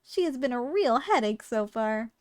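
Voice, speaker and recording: Woman acting as high-voiced, polite female shop clerk. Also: expressive, moderate pace, dry recording, lightly acted. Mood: frank, wry. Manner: formal, courteous salesperson patter with dry understatement. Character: polite, businesslike female shop clerk selling dangerous yandere girls. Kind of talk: scripted ASMR roleplay addressed to the listener.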